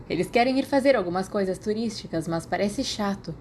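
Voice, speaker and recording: A woman's voice: mid-range voice, animated, a little fast, a little mellow, slight background noise, lightly acted. A Brazilian woman voicing a playful, stubborn teenage boy in audiobook dialogue. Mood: eager, casual.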